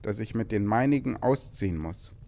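A man's voice: lowish voice, lively intonation, moderate pace, gravelly, warm tone. A man playing a harried farmer and householder in a fairy-tale audio story. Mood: plaintive.

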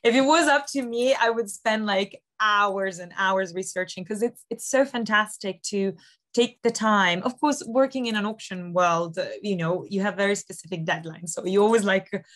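A woman's voice: medium pitch, normal speed, fairly bright tone. Woman, thirties, art specialist, enthusiastic, chatty with fillers, webcam video call, home study. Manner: enthusiastic, detailed, many fillers like "um" and "like". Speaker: auction house specialist. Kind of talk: expert explaining art in a video call interview.

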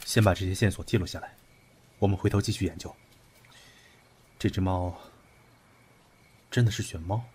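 A man acting in a Chinese audio drama as a brusque, analytical young investigator who speaks in a deliberate, commanding way. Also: low voice, fairly even intonation, darkish tone, a little hiss or hum, close to an everyday voice. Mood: curt.

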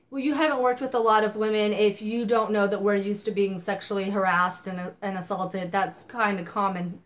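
A young woman reviewing a book in a casual vlog, animated and exasperated, slightly hoarse from a cold, filmed at her home office desk. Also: mid-range voice. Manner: animated, exasperated, gestures a lot.

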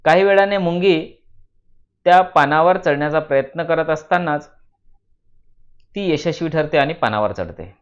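A man from narrates a children's moral story in a deliberate, teacherly way, repeating phrases for emphasis. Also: on the high side. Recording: dull lo-fi voice recording.

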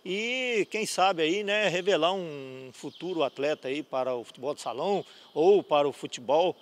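A man's voice: a little high, expressive, normal speed. A middle-aged man, organizer of a youth futsal cup, explaining the rules into a handheld mic inside a sports gym. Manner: explanatory, with fillers. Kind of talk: interview. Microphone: handheld reporter mic.

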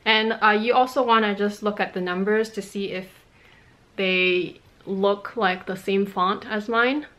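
A woman's voice: mid-range voice, fairly even intonation, measured pace, pushed and bright. A woman in her thirties, a native Cantonese speaker, chatting casually and explaining things to viewers as a vlog host in a room at home. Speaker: fashion vlog host answering viewer questions. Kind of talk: casual chat to camera. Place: small room at home, indoors.

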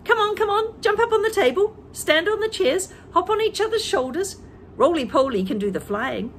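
A woman voicing a frantic, bossy male monkey father who shouts orders at everyone in a storybook reading. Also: very high voice, expressive, moderate pace, slight background noise, pitched above the performer's own voice.

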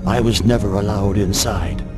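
An actor playing a fussy, bossy scholar of ancient lore in a video game, theatrical and scolding. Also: male voice, fairly low pitch, fairly even intonation, normal speed, long reverb tail, natural voice. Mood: solemn instruction. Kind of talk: video game cutscene acting. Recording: music behind the voice.

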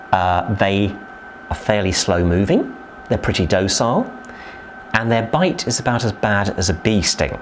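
Male zookeeper, forties, lowish voice with a very lively, emphatic delivery, close mic in an indoor reptile house. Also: a little hiss or hum. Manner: lively, emphatic explainer with sudden pitch rises. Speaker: zookeeper giving a tour. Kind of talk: educational talk to camera.

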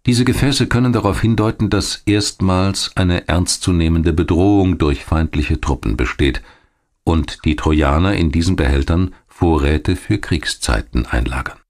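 A man reading scripted documentary narration in a measured, clearly articulated storytelling manner. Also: deep voice. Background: music behind the voice.

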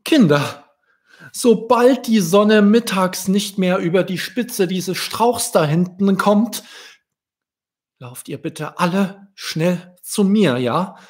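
A man's voice: high voice, lively intonation, slow, pitched above the performer's own voice. A man voicing an anxious, caring mother ladybug who solemnly warns her children in a children's storybook. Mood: instructing.

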